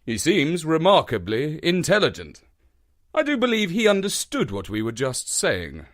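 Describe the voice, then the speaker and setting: A man voicing a mild, kindly male companion who speaks slowly and hesitantly. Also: fairly high pitch, huge pitch swings, voice pushed up. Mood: puzzled. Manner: hesitant, fumbling, slow and polite.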